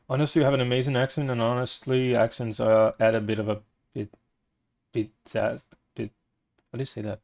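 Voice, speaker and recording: A man's voice: mid-range voice, level tone, moderate pace, vocal fry, pauses often, a little mellow. A young man, a native Portuguese speaker with a Portuguese accent, chatting casually with stream viewers, rambling, searching for words and laughing often.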